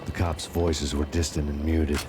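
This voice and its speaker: A man playing a brooding, world-weary hardboiled hero, narrating a noir game story in a deliberate, grim deadpan. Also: very deep voice, over music. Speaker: narrator and protagonist.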